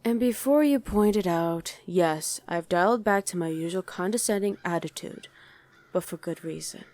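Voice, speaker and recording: A woman's voice: fairly low pitch, normal speed, darkish tone, lightly acted. A woman voicing a condescending, sardonic female demon commander in a chatty ASMR roleplay. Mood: sardonic, musing. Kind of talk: ASMR roleplay acting addressed to the listener.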